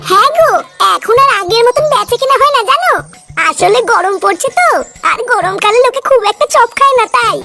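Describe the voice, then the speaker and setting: A performer voicing a scrappy, foul-mouthed village cartoon character with a squeaky, childlike voice and a cheeky, scolding manner. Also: animated, a little fast, gravelly, bright, forceful tone, heavily acted. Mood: chatty, defensive. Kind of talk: cartoon comedy dubbing. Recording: music behind the voice.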